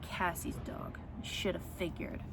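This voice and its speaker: A woman voicing a stern, no-nonsense female principal in a storybook, with clipped, deliberate scolding. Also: close to an everyday voice. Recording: clear background noise.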